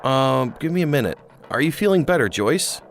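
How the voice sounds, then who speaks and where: A woman voicing an easygoing, friendly high school boy in an animated story. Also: normal speed, faint music behind. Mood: concerned, hesitant.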